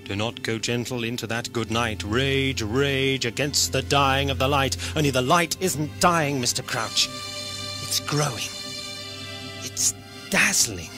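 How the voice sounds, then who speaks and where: A man acts out a wandering alien doctor in a science fiction radio play. He declaims like a poet, philosophical and defiant with a sardonic edge. Music plays clearly behind his mid-pitched voice. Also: unhurried, lightly acted. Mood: grave, poetic. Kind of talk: theatrical audio drama acting.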